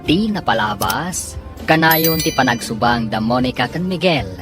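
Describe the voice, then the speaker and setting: A man's voice: mid-range voice, lively intonation, moderate pace. A man with an Ilocano accent announcing and narrating a radio drama in an emphatic, scripted theatrical style. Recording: loud music bed.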